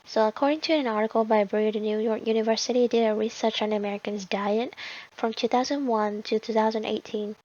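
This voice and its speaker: A woman with a Vietnamese accent gives a student presentation. Her pitch moves very little and she explains things simply, with some hesitation. The recording is slightly dull and lo-fi. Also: steady tone, fairly quick, darkish tone, no echo. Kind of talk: informal class presentation.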